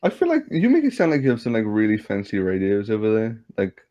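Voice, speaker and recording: A man's voice: fairly low pitch, wildly swooping pitch, fast talker, mellow, no echo, dull audio. A man from Wales with a Welsh accent, co-hosting a livestream in casual chat and teasing the others with a joking manner. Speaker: streamer host.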